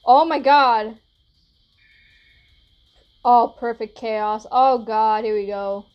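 A woman's voice: on the high side, slow, clear, resonant tone, muffled recording, soft background music. A young woman giving casual reaction commentary as she hosts a video, recorded indoors in a small room. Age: young adult.

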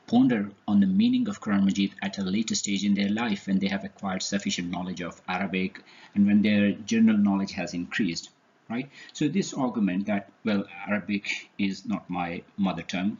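A man with a lowish voice teaches a religious lesson in a measured, earnest way. He often stops on hesitation fillers while he explains. The recording sounds dull and lo-fi. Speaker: Islamic studies presenter.

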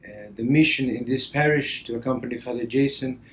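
A man in his early thirties from Costa Rica with a Spanish accent, a priest introducing himself to camera in a halting way with frequent pauses. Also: medium pitch, a bit bright. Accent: Costa Rican Spanish. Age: early 30s. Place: indoor office or library, seated at a desk. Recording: background room noise.

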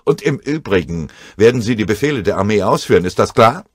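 A man's voice: on the low side, lively intonation, brisk, breathy edge, no room sound, studio quality, voice pushed up. A man voicing a curt, authoritarian general with an impatient, clipped manner in a war audiobook. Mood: stern, impatient. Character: curt, authoritarian male general, corps commander.

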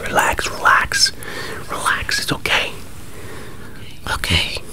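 Male ASMR puppeteer, 30s. Close, slow whisper, placating and gentle in a comic skit. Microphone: close-up microphone.

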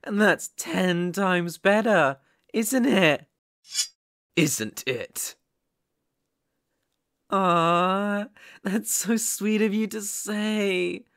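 A man's voice: high-pitched, extremely slow, creaky, pauses often, fairly bright tone, dry recording, studio quality, heavily acted. A man voicing a sadistic, possessive kidnapper in an ASMR roleplay, taunting and menacing with a mock-sweet manner. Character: sadistic, possessive male psycho yandere kidnapper.

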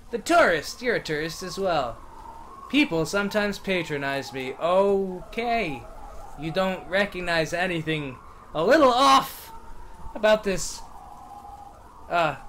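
Excitable lively-voiced gaming streamer man. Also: on the high side, a little hiss or hum. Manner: loud, excitable, sarcastic, swears freely. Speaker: game streamer. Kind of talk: let's play commentary.

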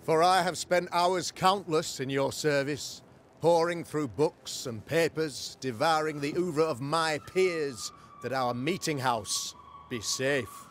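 Pompous preacher man from a video game, talks slow and flowery like a sermon, bit of background noise. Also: fairly high pitch, fairly bright tone. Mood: grandiose preaching. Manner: pompous, florid, oratorical. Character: pompous, pious preacher, learned man of the cloth.

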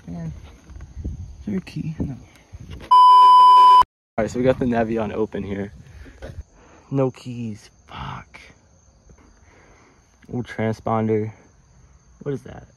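A man casually vlogging as he explores old airplanes in a rural field, excited, swearing and talking to his viewers on a phone camera. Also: mid-range voice, takes it slowly, pauses often. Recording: phone audio outdoors with insect noise. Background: insects and crickets outdoors.

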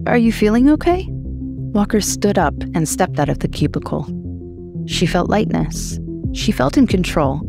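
Low creaky woman narrating a spooky short story, music underneath. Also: lively intonation, moderate pace.

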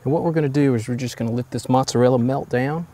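A man in his forties hosting a home cooking show, explaining each step in a relaxed, easygoing way from his kitchen. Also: mid-range voice, fast, a little hiss or hum. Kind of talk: casual cooking demonstration.

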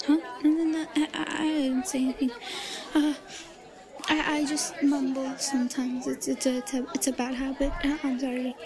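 A woman's voice: high-pitched, flat delivery, normal speed, a crowd in the background. A woman playing a timid, lovestruck yandere schoolgirl in an audio roleplay, stammering and murmuring breathily with hesitation. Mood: shy, nervous. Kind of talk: intimate audio roleplay acting.

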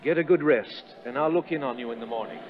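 An actor playing an earnest, concerned army major speaking in a measured way in a 1930s radio drama. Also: male voice, medium pitch, lightly acted. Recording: muffled 1930s radio broadcast.

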